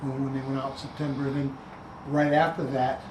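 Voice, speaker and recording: A man recalling an event in a group interview, pausing and restarting as he remembers. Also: medium pitch, level tone. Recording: echoey room with noise.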